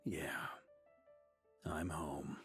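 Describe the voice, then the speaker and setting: An actor playing a seasoned, easygoing knight grandmaster in a video game, weary but warm-hearted, speaking in measured phrases with wry asides. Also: male voice, very deep voice, fairly even intonation, vocal fry, soft background music, full character voice. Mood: relieved, fond.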